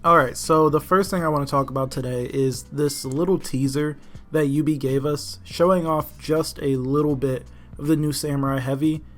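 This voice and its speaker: A man's voice: fairly high pitch, fairly even intonation, normal speed. A man giving casual, opinionated commentary as the host of a gaming news video. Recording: music behind the voice. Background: background music.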